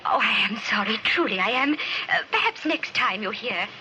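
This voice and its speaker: A woman's voice: fairly high pitch, animated, moderate pace, bright, forceful tone. A woman playing a demure, solicitous young bride in an old radio drama, genteel and hesitant. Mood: flustered, apologetic. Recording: noisy vintage radio recording.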